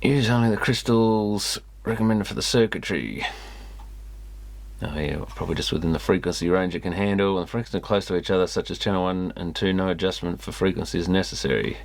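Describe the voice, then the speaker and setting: A man's voice: deep voice, a little fast, gravelly. A man hosting a repair video, casually commenting and reading a manual aloud with muttered asides. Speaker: host of an electronics repair video channel.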